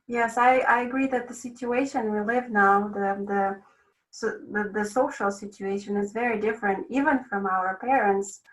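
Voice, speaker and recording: A woman's voice: mid-range voice, steady tone, moderate pace, distant mic, poor audio. A woman from Russia, a guest sleep consultant speaking in a casual interview, thoughtful and hedging with many ums and restarts.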